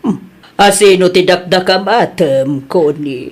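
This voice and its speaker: A Filipino woman playing a patient but firm boss in an audio drama, measured, often humming thoughtfully before she answers. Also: lowish voice, darkish tone. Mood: calm, probing. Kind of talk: acted audio comedy-drama dialogue.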